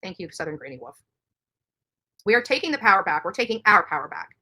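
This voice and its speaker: A woman speaks at a rapid clip in a bright, forceful voice. She is a live streamer on an indignant rant, hammering points home by repeating them. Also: mid-range voice, sing-song, poor audio. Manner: emphatic, indignant, repeats herself.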